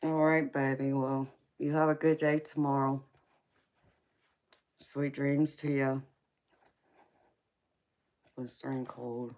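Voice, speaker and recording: Southern woman in her forties with a twang and a very deep voice, hosting a tarot livestream and calling viewers honey. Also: flat delivery, slow pace, long pauses, darkish tone. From Georgia, US. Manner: affectionate, calls viewers baby and honey, laughs often. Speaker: tarot reader livestream host. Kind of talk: casual live chat reading comments.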